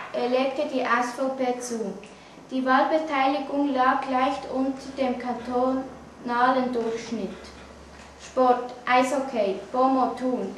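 A young woman with a Swiss German accent reads scripted news in a halting, stumbling way. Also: sits high. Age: teenager or young adult. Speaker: student news reader. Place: classroom, indoors. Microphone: camera mic at a distance. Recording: echoey room, distant mic, faint noise.

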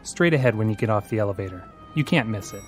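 An actor playing a friendly, helpful young businessman in a radio drama, brisk, casual and obliging. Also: fairly low pitch, expressive, soft background music, natural voice. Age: young adult.

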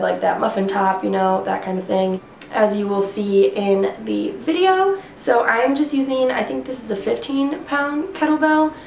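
A woman's voice: mid-range voice, creaky, a little mellow, slight background noise. A young woman in her twenties, congested, chatting casually to camera at home about workouts, rambling with lots of fillers. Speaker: fitness vlogger. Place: living room at home. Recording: echoey room.

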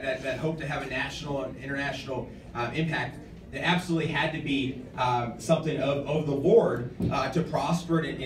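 An American man in his thirties giving an informal, halting acceptance speech at a podium, often repeating words as he goes. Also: a little high. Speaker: award recipient and nonprofit director giving an acceptance speech. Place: hotel function room, indoors at a podium. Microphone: podium microphone, captured by a camera in the audience. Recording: distant, echoey room with clear background noise.

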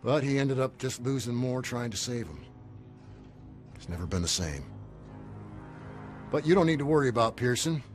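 Fatherly American officer, male actor, war game: medium pitch, measured, frank, faint score underneath. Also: animated, soft background music, close to an everyday voice. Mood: grave, reassuring. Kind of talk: cinematic video game acting.

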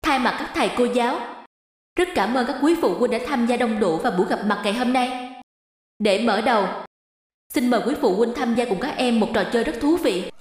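A woman's voice: a little high, fairly quick, very echoey, in a large hall, lightly acted. A woman voicing a cheerful primary school teacher politely hosting an event in a cartoon. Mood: cheerful, courteous. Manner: polite, clearly presenting. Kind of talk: animated fairy-tale dubbing.

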